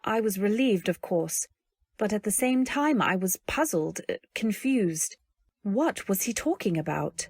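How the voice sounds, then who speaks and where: A woman narrating and acting a young wife in an old-time radio drama, earnest, anxious, and gentle. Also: medium pitch, animated, unhurried, slightly rough. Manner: earnest first-person storytelling, anxious and gentle. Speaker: narrator and actor.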